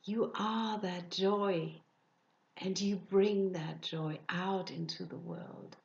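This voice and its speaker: A woman leading a guided meditation, speaking softly and soothingly, often dropping into breathy whispers. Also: mid-range voice, steady tone, muffled recording. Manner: slow, soft, soothing with breathy whispered phrases.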